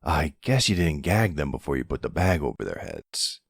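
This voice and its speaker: A man's voice: very animated, normal speed, no room sound, clean studio sound, heavily acted. A man playing a weary, exasperated but courteous mafia boss in an ASMR audio roleplay, with wry, colloquial delivery. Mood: dry, annoyed. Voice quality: deep, smooth, gravelly creak. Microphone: close, dry microphone.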